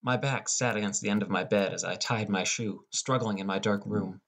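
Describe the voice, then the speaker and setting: A young man reading a scripted first-person audiobook as a depressed high school boy. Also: steady tone, moderate pace, a bit bright. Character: depressed, irritable high school boy telling his story. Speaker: audiobook narrator.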